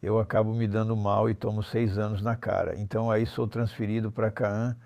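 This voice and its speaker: A man in his seventies with a flat, mellow delivery, recorded close and dry. He tells his life story conversationally, in blunt everyday language peppered with tag questions. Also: on the low side, moderate pace, gravelly, no echo. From Normandy, France. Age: 70s. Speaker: interview guest, former armed robber.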